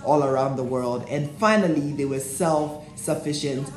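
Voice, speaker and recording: Animated young man hosting church history. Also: a little high, moderate pace, roomy sound, faint music behind. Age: young adult. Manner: animated, gestures a lot, asks the viewer questions. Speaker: church history video host. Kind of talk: energetic church history explainer. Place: small indoor room, plain wall.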